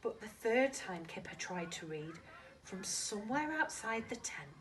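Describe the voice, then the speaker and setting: Female storyteller for young children: lively, swooping delivery, dramatic, recorded a little far from the mic with faint noise. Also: medium pitch, measured pace. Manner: animated, dramatic, addresses young listeners with questions. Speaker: primary school teacher reading to children. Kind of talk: expressive children's storybook reading.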